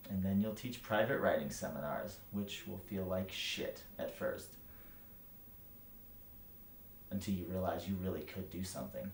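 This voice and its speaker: An actor playing a bitter, brutally frank writing teacher in a theatrical monologue, speaking with scorn, emphasis and profanity. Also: male voice, deep voice, a little mellow. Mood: bitter, resigned. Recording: roomy echo, light background noise.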